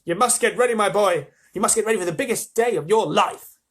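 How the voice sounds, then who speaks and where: A reader shouting as an excitable old grandfather. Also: fast talker, close to an everyday voice. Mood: urgent. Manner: shouting, overjoyed, high and strained.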